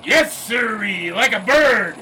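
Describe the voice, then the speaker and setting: High, bright, blustery newspaper boss. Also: male voice, expressive, takes it slowly, a little hiss or hum, exaggerated voice, low-quality recording. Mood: gleeful, bustling. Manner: booming enthusiasm, muddles facts. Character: blustery, scatterbrained, excitable 1920s newspaper boss.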